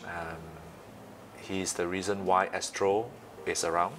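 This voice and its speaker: A man in his late thirties with a Malaysian accent, speaking haltingly and pausing often in a conversational interview as the guest. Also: low voice, fairly even intonation, over music. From Malaysia, east coast. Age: late 30s.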